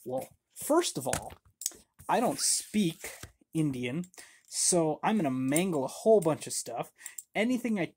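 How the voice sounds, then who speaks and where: A man in his thirties hosting a casual board game unboxing at home on a webcam, rambling and self-deprecating with lots of fillers and restarts. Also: fairly high pitch. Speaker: board game channel host. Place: home room with game shelves.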